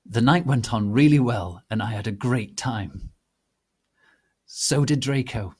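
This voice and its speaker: A man giving a theatrical, deliberate dramatic reading of a piece of fan fiction. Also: medium pitch, husky. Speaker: reader.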